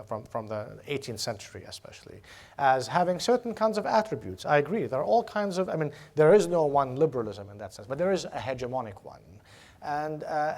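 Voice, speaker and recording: A man's voice: medium pitch, a little mellow. A man from Jordan, a lecturer answering audience questions, talking with many fillers, repeated words and restarts. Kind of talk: academic Q&A answer. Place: lecture hall.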